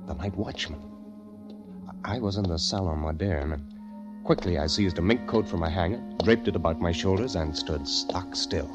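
An actor playing a nervous poet, telling his tale with halting intensity in a 1940s radio drama style. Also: low voice, close to an everyday voice. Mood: uneasy, suspenseful. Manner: hushed, deliberate, halting, intense. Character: nervous poet fleeing the world, haunted narrator. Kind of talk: 1940s radio acting, dramatic first-person narration. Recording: vintage radio drama with music behind. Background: music.

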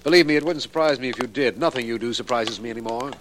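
A man playing a brisk, businesslike district attorney in a 1950s radio drama, formal in both narration and dialogue. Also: medium pitch, slight background noise, natural voice. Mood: wry, curious.

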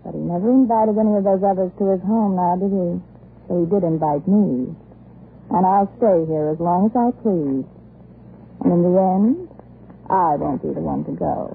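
A woman's voice: on the low side, normal speed, gravelly. Through a tinny, lightly hissing 1930s radio recording comes a hard-boiled American film star. She is a flippant, slangy schemer who taunts with cynical confidence, in the style of old radio melodrama. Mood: taunting. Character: brazen, cynical, fading Hollywood actress, a scheming mistress. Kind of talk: 1930s radio drama acting.

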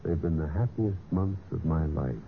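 An actor playing a cold, scheming husband in a 1950s radio mystery, with a smooth, calculating manner. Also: male voice, low-pitched, normal speed, a little hiss or hum. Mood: feigned tenderness. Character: scheming, cold husband narrating his own murderous plot. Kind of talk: 1950s radio mystery acting and first-person narration. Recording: muffled old radio broadcast with music.

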